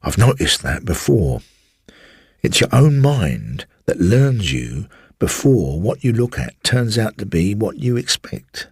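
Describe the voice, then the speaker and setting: Deep gravelly man telling a ghost story. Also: very animated, darkish tone, no echo, clean studio sound. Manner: confiding, measured storytelling addressed to a listener as "sir"; old-fashioned country servant's turns of phrase. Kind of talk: first-person ghost story reading for radio.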